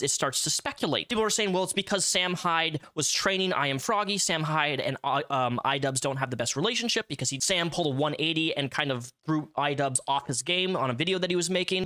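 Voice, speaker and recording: A man's voice: sits high, sharp tone, dry recording, studio quality. A man hosting a commentary video about online drama, talking emphatically and repeating words for stress. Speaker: YouTube commentator.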